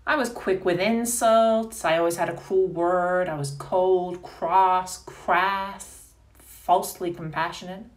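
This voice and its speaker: A woman's voice: lowish voice, fairly even intonation, distant mic. An actress playing a cold, self-declared mean woman in a melodramatic, pleading stage monologue. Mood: confessional. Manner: pleading, melodramatic, deliberate with hesitations. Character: cold, self-declared bitch begging not to be proposed to.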